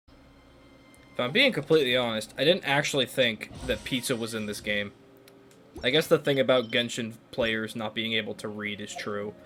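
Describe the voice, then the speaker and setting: A man's voice: medium pitch, a bit bright, slight background noise. A man giving casual, joking and self-deprecating commentary as he plays through a video game. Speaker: gaming video host.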